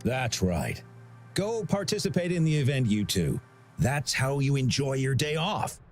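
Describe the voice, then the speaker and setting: A man's voice: mid-range voice, unhurried, faint music behind. An actor playing a genial, talkative older man who directs a sporting festival in a video game. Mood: friendly, chatty. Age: middle-aged. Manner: genial, chatty, expressive.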